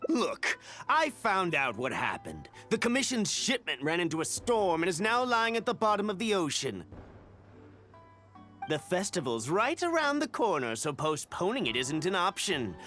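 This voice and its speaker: Animated, scornful crooked merchant. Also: male voice, high voice, normal speed, faint music behind, heavily acted. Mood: smug, scornful. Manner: theatrical, scornful, haggling. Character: indignant, pedantic-hating crooked male merchant, scheming and smug. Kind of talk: video game character acting.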